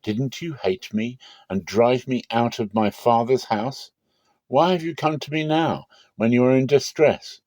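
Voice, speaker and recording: A man's voice: medium pitch, a little slow, darkish tone, dry recording, close to an everyday voice. A man voicing a proud, challenging ancient warrior chieftain in a dramatic Bible reading, declaiming his questions like an orator.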